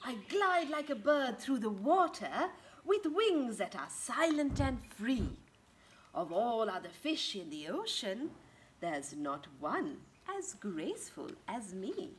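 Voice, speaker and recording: A woman voicing a graceful, serene manta ray from a storybook in a gentle, flowing recitation. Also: on the high side, very animated, slow. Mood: serene pride. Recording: outdoor ambient garden noise.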